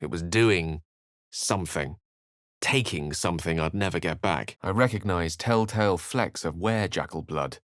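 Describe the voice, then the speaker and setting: Erudite game wizard, deep register, dry studio sound, courteous, wry, articulate, theatrical storyteller. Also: male voice, normal speed, fairly bright tone, no room sound. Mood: grave storytelling. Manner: articulate, theatrical, literary phrasing. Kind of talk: video game voice acting, dialogue and narration.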